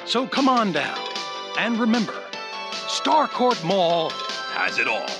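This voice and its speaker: A man narrating a retro mall commercial as an announcer, salesy and emphatic with drawn-out slogans. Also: high voice, huge pitch swings, music in the background.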